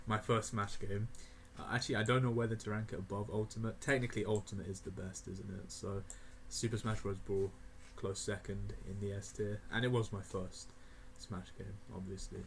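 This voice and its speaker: An eighteen-year-old man rambling casually through a game tier list, laughing often and filling pauses with ums and uhs. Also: fairly low pitch, flat delivery, vocal fry, a little hiss or hum, poor audio. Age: young adult (18). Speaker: gaming video creator.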